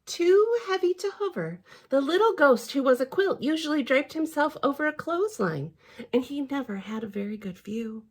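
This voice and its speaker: Female children's storyteller: slightly high, hugely varied pitch, expressive read-aloud with chatty asides. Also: normal speed. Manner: theatrical and expressive, with gasps and hushed asides.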